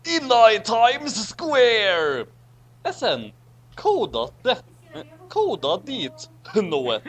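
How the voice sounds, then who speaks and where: A man's voice: sits high, wildly swooping pitch, extremely slow, husky. A man hosting a casual group read-aloud, commenting and stumbling over the words as he reads garbled text. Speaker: host of a group fan-fiction reading. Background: background music.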